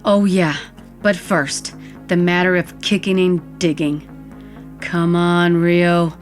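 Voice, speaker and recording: A woman's voice: lowish voice, steady tone, takes it slowly, soft background music. A woman narrating audio fiction in the first person, with a wistful, vivid storytelling manner.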